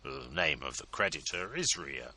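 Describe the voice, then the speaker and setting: A man voicing an awkward, slow-witted young gentleman who talks in a halting, stiff way. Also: low-pitched, big pitch swings, exaggerated voice.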